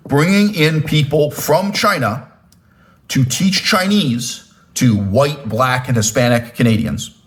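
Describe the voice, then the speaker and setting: Emphatic lecturing man with sweeping pitch jumps. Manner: addresses viewers directly with "right?" and "okay?". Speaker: channel host. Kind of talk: spoken political commentary monologue.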